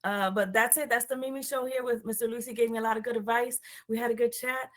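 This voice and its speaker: A woman's voice: on the high side, steady tone, fast talker, a bit bright. A woman with a Puerto Rican accent hosting a casual interview over a call, chatty and enthusiastic, trailing off with fillers. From Puerto Rico. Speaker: show host.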